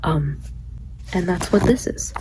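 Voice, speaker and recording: A woman's voice: lowish voice, animated, takes it slowly, dark tone, a little hiss or hum, low-quality recording. A tired young woman recovering from a cold, rambling casually about her writing on a late-night vlog. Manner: rambling, self-deprecating, many "like"s. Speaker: writing vlogger.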